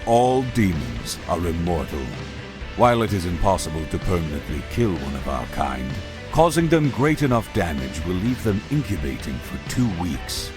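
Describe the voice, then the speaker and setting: An actor playing a solemn narrator who explains demon lore in a video game, in a measured, formal way. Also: male voice, sits low, big pitch swings. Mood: solemn, explanatory. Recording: guitar music behind the voice.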